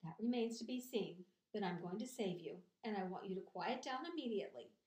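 A woman voicing a calm, brisk, motherly female spider in a storybook, speaking in a firm and measured way. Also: medium pitch, close to an everyday voice. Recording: echoey room.

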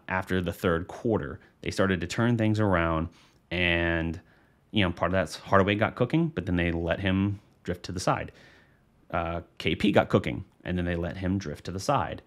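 American male sports analyst, thirties, low register, conversational and loosely unscripted. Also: a little slow. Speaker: sports show host and analyst. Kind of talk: casual unscripted basketball postgame commentary. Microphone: studio microphone on a boom arm.

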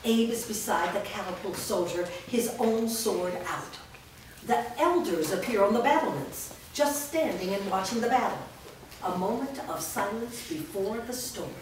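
A woman reads the stage directions in a steady, descriptive way. She sounds far from the mic in a very echoey room with faint background noise. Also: mid-range voice, a little slow. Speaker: narrator reading stage directions. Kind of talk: staged script reading. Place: large studio room. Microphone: far camera mic.

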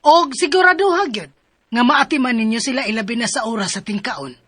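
A woman acting as a harsh middle-aged female employer who scolds her helpers with sharp, berating insults in a radio drama. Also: fairly high pitch, fairly quick, slightly rough, no room sound. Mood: stern.

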